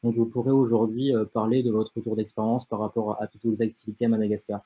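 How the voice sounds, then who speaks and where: A young man hosting and moderating an online panel, introducing the guests in a halting way with frequent fillers. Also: on the low side, level tone, dark tone, husky, rough recording. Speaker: host, moderator.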